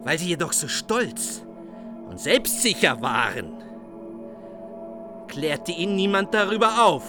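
A man narrates an old epic solemnly, as scripted storytelling. His voice is high for a man and unhurried, with faint music behind. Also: big pitch swings.

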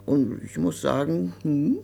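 An actor playing a creepy, flattering male stranger, insinuating and halting as he repeats himself, in radio drama style. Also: a little high, vocal fry, heavily acted. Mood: oily, flattering. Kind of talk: German radio crime drama acting.

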